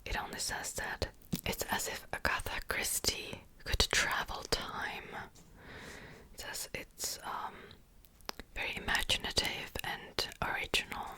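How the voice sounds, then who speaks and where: A woman whispers in a soft, fully unvoiced hush. She takes her time, drifting between thoughts with little ums and pauses in a relaxed ASMR ramble. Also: low-quality recording. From Czech Republic. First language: Czech. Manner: slow, relaxed rambling with fillers. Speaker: ASMR creator. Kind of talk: whispered ASMR book haul.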